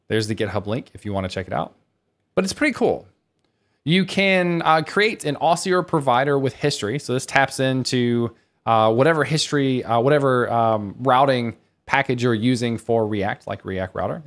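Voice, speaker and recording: A man presenting a technical conference talk in a conversational, reassuring way, explaining things plainly and informally. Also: medium pitch. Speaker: conference speaker, developer teaching web development.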